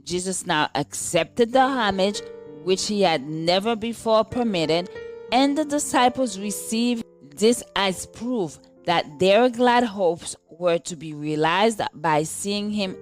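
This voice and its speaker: A woman reading a scripted daily devotional in a measured, deliberate manner. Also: medium pitch, expressive. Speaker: devotional reader. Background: background music.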